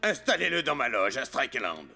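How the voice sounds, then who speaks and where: A man's voice: mid-range voice, sing-song, a little fast, creaky, pushed and bright, soft background music, exaggerated voice. An actor playing a scheming villain boss in a French cartoon dub, commanding and menacing as he gloats. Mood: gloating, commanding.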